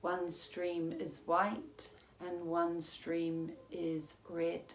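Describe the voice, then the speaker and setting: A woman yoga teacher leading a guided relaxation in a calm, measured, soothing manner with long pauses. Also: lowish voice. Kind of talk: guided meditation, yoga nidra instruction. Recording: roomy echo with faint background noise.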